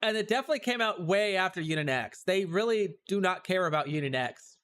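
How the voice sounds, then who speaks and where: A man's voice: high-pitched, animated, fairly quick, fairly bright tone, clean tone. A man livestreaming casual game commentary, musing and reacting aloud in loose fragments.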